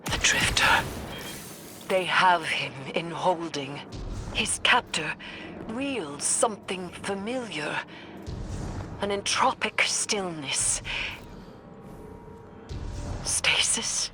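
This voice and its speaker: An actress playing a grave, wary mystic warrior in a sci-fi game, with a measured, solemn delivery. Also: female voice, fairly low pitch, animated, over music. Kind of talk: sci-fi game cutscene acting.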